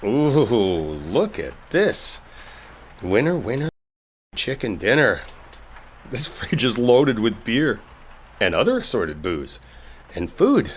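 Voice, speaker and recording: A man's voice: mid-range voice, wildly swooping pitch, takes it slowly, pauses often, slight background noise. A man acting a brash, exasperated young coworker in an intimate roleplay audio, speaking casually with sarcasm and swearing. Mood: curious, pleased.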